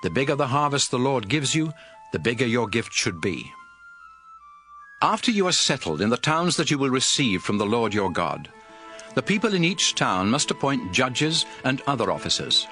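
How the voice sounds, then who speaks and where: An animated, solemn prophet lawgiver. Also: male voice, mid-range voice, normal speed, soft background music. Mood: solemn, commanding. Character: solemn male prophet lawgiver addressing his people. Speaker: scripture narrator. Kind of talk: dramatized audio Bible reading, oratory.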